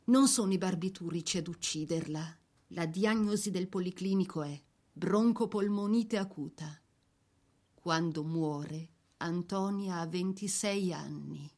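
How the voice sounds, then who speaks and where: A woman narrating a scripted dramatic biography, solemn and measured, with deliberate pauses between phrases. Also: fairly low pitch, darkish tone.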